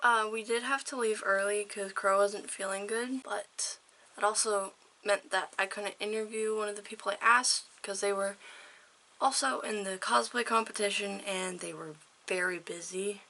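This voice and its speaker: A woman vlogger talking casually to her viewers, her voice hoarse and a little worn, rambling with fillers and laughs. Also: mid-range voice, steady tone, normal speed, pushed and bright, rough recording. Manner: rambling, chatty, laughs often. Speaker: vlogger and interviewer. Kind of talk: casual vlog chat.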